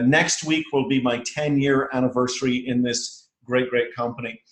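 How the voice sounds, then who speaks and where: Irish man, rehearsed sales pitch, persuasive and upbeat, higher voice, echoey conference call audio. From Ireland. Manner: upbeat and persuasive, with frequent "uh" and "okay?".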